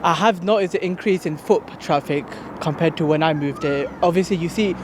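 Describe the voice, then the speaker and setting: Man, fast talker, slightly high voice, articulate, outdoor street noise. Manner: articulate, upbeat, defensive of newcomers. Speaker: street interviewee.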